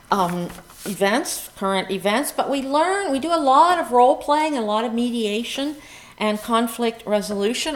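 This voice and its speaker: A woman from Myanmar, an activist, giving a public speech in a conversational way with asides to her audience. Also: on the high side. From Myanmar (Rakhine State). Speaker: human rights activist and organization founder.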